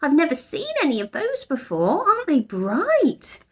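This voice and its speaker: Woman voicing an eager, high-voiced young girl. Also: normal speed, full character voice. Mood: kindly, eager. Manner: chattering, helpful, lively. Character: kind, chatty young girl, eager and welcoming. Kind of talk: children's storybook character voice.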